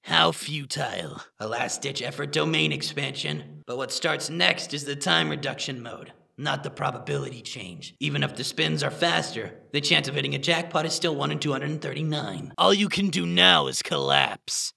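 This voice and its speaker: A man playing a proud, battle-hungry sorcerer in an anime dub style, intense and disdainful, with a gravelly, creaky voice. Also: on the high side, moderate pace, few pauses, bright, forceful tone, exaggerated voice. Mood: scornful. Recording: dramatic music behind, somewhat distant voice.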